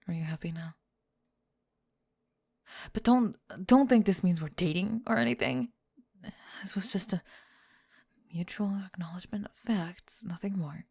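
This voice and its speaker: A woman voicing a young goth bully girl in an ASMR roleplay, halting and defensive as she hides a crush. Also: on the low side, a little mellow, pitched below the performer's own voice, heavily acted. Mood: reluctantly confessing. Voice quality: breathy. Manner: tsundere, defensive, halting, backtracking.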